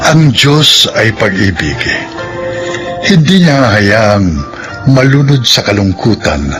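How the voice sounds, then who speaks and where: A man announcing the credits of a radio drama in a measured, formal way. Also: lowish voice, very animated. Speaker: program announcer. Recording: radio broadcast with music bed. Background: music.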